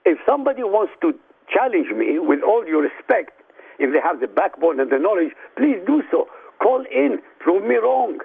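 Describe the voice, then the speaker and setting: A man from Iraq, a native Arabic speaker, argues forcefully over a phone line, as if making a speech to the listeners. His voice is high, gravelly and husky, and it comes through thin and tinny. He hammers out short declarations one after another. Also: very animated, normal speed. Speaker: radio guest. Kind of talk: emphatic argument on a radio talk show.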